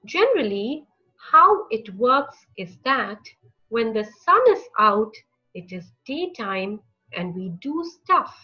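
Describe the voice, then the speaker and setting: A woman narrating a picture book for young children, with deliberate, expressive phrasing and short pauses. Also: on the high side, highs cut off. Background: music.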